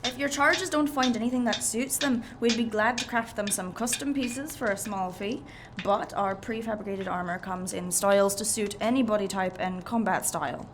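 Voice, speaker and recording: A woman playing a woman armor merchant who makes a smooth sales pitch. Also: moderate pace, background noise, natural voice. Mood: salesy. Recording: clear background ambience.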